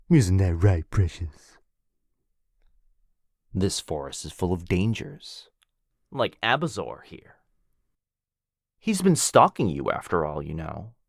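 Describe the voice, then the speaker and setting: A man's voice: low voice, no echo, clean studio sound, heavily acted. Otto, a man voicing a cheesy flirty werewolf.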